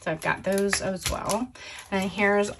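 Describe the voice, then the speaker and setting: A hoarse woman recovering from illness, chatting casually as she shows her craft supplies at her desk, rambling with fillers and self-corrections. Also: medium pitch, steady tone, unhurried, background noise. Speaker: scrapbooking crafter showing supplies. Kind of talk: casual show-and-tell chat.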